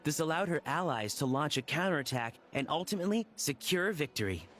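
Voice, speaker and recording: An actor playing an earnest, polite researcher in a video game, explaining legends in a lecturing tone. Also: male voice, fairly high pitch, lively intonation, brisk, a bit bright, faint music behind. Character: earnest scholarly male researcher.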